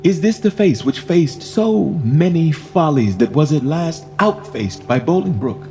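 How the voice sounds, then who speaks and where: A man's voice: a little high, animated, dark tone, long reverb tail, soft background music. An actor playing a proud, bitterly ironic deposed king who mourns himself in theatrical Shakespearean verse for radio. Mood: anguished, brooding. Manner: theatrical verse delivery, musing, mocking pauses. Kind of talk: Shakespearean radio drama acting.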